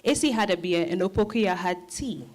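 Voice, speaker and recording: A woman's voice: fairly low pitch, lively intonation, normal speed, a little mellow, slight background noise. A woman narrating a scripted story for a dramatized reading.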